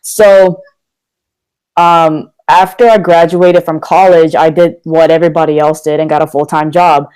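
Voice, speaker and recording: A man's voice: fairly high pitch, little pitch movement, a little slow, a little mellow, clean tone, rough recording. A young man from Korea, a guest talking candidly and energetically in a casual podcast interview. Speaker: guest, motivational speaker.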